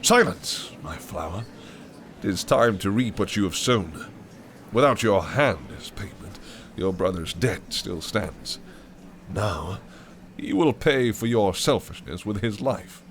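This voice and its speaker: An actor playing a possessive, scheming male fae prince in an audio roleplay drama, who speaks in a theatrical, old-fashioned way and swings between shouted rage and hushed whispers. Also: fairly low pitch, lively intonation, takes it slowly, a little hiss or hum. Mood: mocking.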